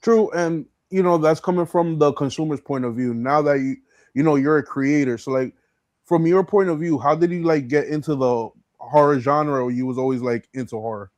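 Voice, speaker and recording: A man's voice: fairly high pitch, normal speed, a little mellow, no echo. A man hosting a casual chat, interviewing in slangy, agreeable, laid-back phrasing. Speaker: podcast host, interviewer.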